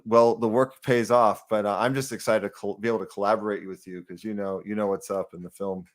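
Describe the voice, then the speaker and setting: Male musician guest, casual chat, lowish pitch. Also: fairly even intonation, fairly quick.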